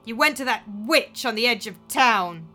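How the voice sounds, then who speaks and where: A woman's voice: on the high side, lively intonation, moderate pace, bright, forceful tone, faint music behind. A woman voicing a grief-stricken young woman who screams and sobs furious accusations. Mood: accusing.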